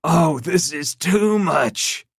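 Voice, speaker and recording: A man's voice: medium pitch, animated, moderate pace, a bit bright, no echo, studio quality, pitched above the performer's own voice. A man voicing a smug older leader who laughs off his wife's accusations and talks down to others. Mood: amused, mocking. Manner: amused, dismissive, laughing. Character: smug older male cult leader, mocking.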